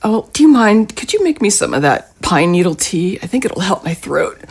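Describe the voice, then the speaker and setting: A woman playing an ill, feverish mother who coughs and speaks softly and protectively to her daughter. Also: medium pitch, sing-song, moderate pace, full character voice. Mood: weary. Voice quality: husky. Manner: soft, tired, gently protective. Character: sick, caring mother, middle-aged woman.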